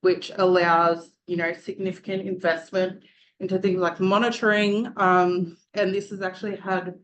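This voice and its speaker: A woman with a loud, projecting voice gives a prepared presentation to an audience as a student speaker. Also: fairly low pitch, flat delivery, normal speed, a little hiss or hum, rough recording.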